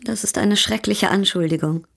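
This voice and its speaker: A woman's voice: mid-range voice, moderate pace, gravelly, slightly rough. A woman playing a coquettish, ironic baroness in a German radio drama, poised and teasing.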